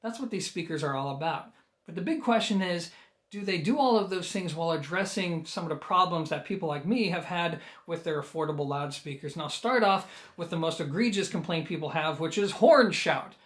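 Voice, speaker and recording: A man's voice: fairly high pitch, lively intonation, a little fast, a bit bright. An American man in his thirties giving a casual, emphatic and explanatory video review of audio gear.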